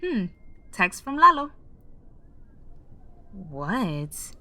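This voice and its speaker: An actress playing a cheerful young twin, chatty and playful. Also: female voice, mid-range voice, big pitch swings, very slow, bright, forceful tone, no echo, exaggerated voice. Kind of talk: audio drama acting.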